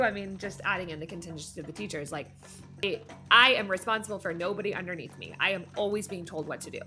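A woman's voice: on the low side, bright, forceful tone. A woman co-hosting a casual discussion, talking emphatically and repeating phrases to make her point. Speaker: podcast co-host, behavior analyst.

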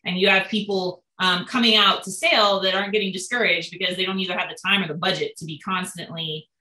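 A woman's voice: on the low side, fairly even intonation, fast talker, bright, forceful tone. A young woman answering interview questions over a video call, explaining steadily with frequent ums. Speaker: boat builder guest. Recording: roomy video call audio.

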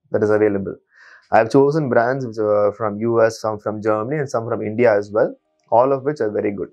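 A man in his thirties, an eye surgeon, calmly and methodically explaining to patients from a small clinic office on a lapel mic. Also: medium pitch, highs cut off. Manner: calm, methodical, steady explainer. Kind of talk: explanatory patient education talk.

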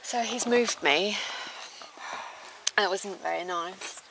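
A middle-aged woman chatting nervously while she holds the camera on a high rooftop. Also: takes it slowly, creaky. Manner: nervous, anxious about the height. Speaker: vlogger filming her partner. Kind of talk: casual chat. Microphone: handheld camera she holds herself. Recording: outdoor recording with strong wind noise.

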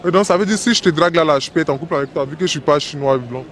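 A man from Ivory Coast doing a street interview outdoors, firing quick teasing questions into a handheld mic. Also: fairly high pitch, slight background noise. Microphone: handheld microphone. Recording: busy street crowd behind.

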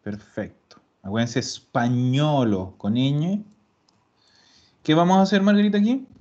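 A man teaching Italian in an online class, patient and explaining slowly, repeating words. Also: mid-range voice, darkish tone. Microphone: video call. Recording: dull lo-fi call audio.

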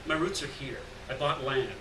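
A man's voice: medium pitch, normal speed, natural voice. A man voicing a serious, guarded poet in a live story reading, speaking in a terse, restrained, plain way. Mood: stiff, guarded. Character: serious, guarded, plain-spoken male poet living alone. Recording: roomy, distant mic, faint noise.

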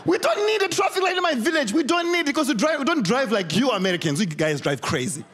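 High-pitched animated Zimbabwean comedian. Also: male voice, fast talker. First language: not English. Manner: animated, shouting punchlines, laughing between lines. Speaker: stand-up comedian. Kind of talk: stand-up comedy set. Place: large TV talent show stage before a big audience. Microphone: handheld mic. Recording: loud music and crowd behind. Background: cheering crowd and show music.

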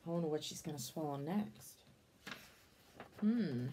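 A woman in her thirties reading a picture book aloud to children, expressive, with exclamations and chatty explanatory asides. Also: low voice, unhurried, noisy background.

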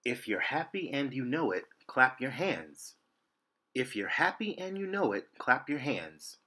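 A man in his thirties reads a children's picture book aloud in a rhythmic, sing-song way. His voice is bright and animated, with a touch of huskiness. He was recorded indoors by a camera a few feet away. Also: medium pitch, unhurried. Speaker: storytime reader. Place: indoor room.

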